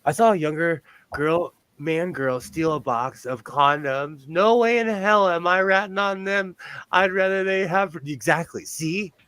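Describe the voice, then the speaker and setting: A man in his forties hosting a sewing livestream, chatting casually in a high but loud voice, rambling, laughing often and restarting his sentences. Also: measured pace, clear, resonant tone, dry recording. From lives on the West Coast of the US. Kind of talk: casual chat while sewing.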